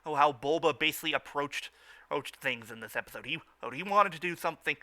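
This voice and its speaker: A man's voice: fairly high pitch, lively intonation, fast, sharp tone. A man in a casual fan discussion as a podcast co-host, often stammering and repeating words and phrases as he goes. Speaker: podcast co-host reviewing a cartoon.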